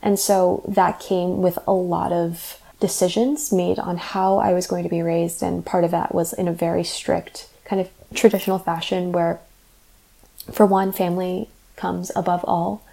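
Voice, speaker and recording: A young woman who grew up in the United States, a college student telling her own story in a measured, thoughtful way. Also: lowish voice, little pitch movement, vocal fry, mellow, poor audio. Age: young adult. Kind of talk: reflective personal narration.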